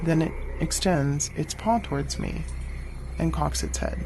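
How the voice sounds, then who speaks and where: An actor playing a wary, stern great-cat hunter, narrating in the first person in grave, clipped, deliberate phrases for an audio drama. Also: male voice, a little high, creaky, heavy background noise. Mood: guarded, puzzled. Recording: music under the voice. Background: dramatic music.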